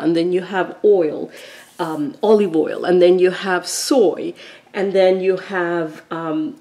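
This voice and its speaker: A woman's voice: fairly low pitch, darkish tone. Chatty woman cooking in her kitchen. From Argentina. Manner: chatty, explains steps as she cooks. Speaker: cooking vlogger. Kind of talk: casual cooking demonstration. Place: home kitchen.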